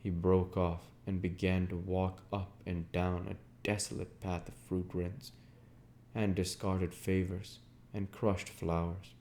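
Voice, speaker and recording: A man's voice: low-pitched, little pitch movement, slow, dark tone, a little hiss or hum. A man narrating literary fiction as an audiobook reader, in a scripted style.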